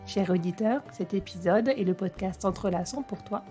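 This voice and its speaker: A woman's voice: mid-range voice, normal speed, mellow, soft background music. Woman hosting a podcast, welcoming. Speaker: podcast host.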